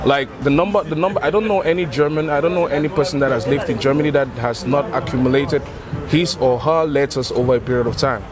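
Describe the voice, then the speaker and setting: Man in a street interview. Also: mid-range voice. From Cameroon. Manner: earnest, halting, repeats and restarts words. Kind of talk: street interview, casual talk. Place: outdoors on a busy city street. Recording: busy street crowd behind. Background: crowd chatter and passing traffic.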